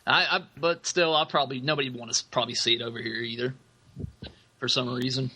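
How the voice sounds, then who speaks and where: A man talks as a podcast co-host in a medium-pitched voice with a very bright, forceful edge. His delivery is informal and folksy, stopping and starting as he chats. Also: fairly even intonation, quick pace, husky. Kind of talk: casual chat.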